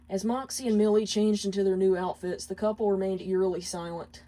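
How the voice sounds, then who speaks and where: Mellow-voiced woman reading a story. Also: medium pitch, low-quality recording. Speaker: fan fiction reader. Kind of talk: scripted narration, reading a story aloud.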